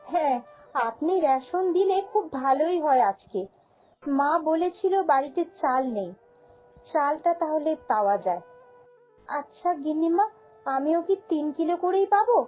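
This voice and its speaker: Very high, earnest cartoon servant girl by a woman. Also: expressive, normal speed, pauses often, darkish tone, soft background music, rough recording. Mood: curious, deferential. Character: humble, honest young female shop servant. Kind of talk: cartoon fairy-tale voice acting.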